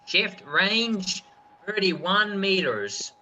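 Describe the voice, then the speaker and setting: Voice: medium pitch, lively intonation, very slow, bright, forceful tone, highs cut off, a little hiss or hum, exaggerated voice. An actor playing a commanding artillery officer in a radio play, giving clipped firing orders.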